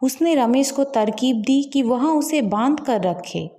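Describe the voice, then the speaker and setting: Woman storyteller reading a light village tale, highish soft mellow voice. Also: expressive, slow pace. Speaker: story narrator. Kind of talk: scripted storytelling narration of light folk tale.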